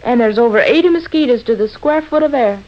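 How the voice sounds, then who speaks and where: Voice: mid-range voice, lively intonation, brisk, close to an everyday voice. A performer with an American accent playing an earnest, matter-of-fact boy in a 1940s radio comedy. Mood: earnest objecting. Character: earnest, literal-minded American boy, needling his father. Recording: muffled old radio recording.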